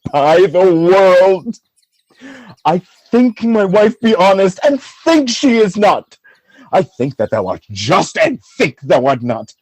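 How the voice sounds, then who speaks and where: A man playing a jealous, tormented general in a Shakespearean radio drama, husky and declamatory, swinging between hushed brooding and outcry. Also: sits high, very animated, takes it slowly, no echo, exaggerated voice, low-quality recording. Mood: menacing, tormented.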